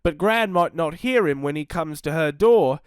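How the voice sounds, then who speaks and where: A fretful, protective storybook mother, gentle but firm, as a narrator lifts their voice for her. The lines sit a bit above the reader's usual pitch, lively in tone and recorded dry and close. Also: normal speed, clean tone, no echo, lightly acted. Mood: worried. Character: anxious, caring mother.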